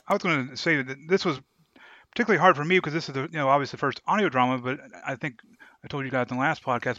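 Male podcast co-host, crisp forceful tone, casual delivery, dry low-fidelity recording. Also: no echo. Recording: dull lo-fi sound.

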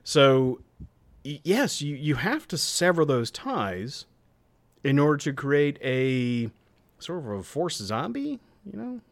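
Animated man, close and dry. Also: a little high, slow pace, dry recording. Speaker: podcast co-host. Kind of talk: casual debate and discussion.

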